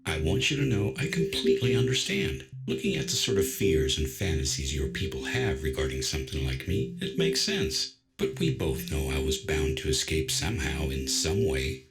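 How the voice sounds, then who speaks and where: A man's voice: extremely low pitch, very animated, normal speed, few pauses, loud music behind the voice, exaggerated voice. A man playing a genial, self-assured artificial intelligence in an audio drama, polite, chatty and matter-of-fact.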